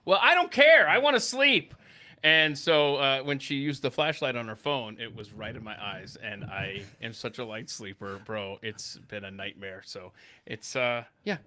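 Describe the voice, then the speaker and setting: Bright, forceful man hosting a casual podcast. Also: mid-range voice, expressive, moderate pace. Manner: informal, bantering, calls people bro. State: tired. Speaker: podcast host. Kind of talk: casual chat. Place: podcast studio.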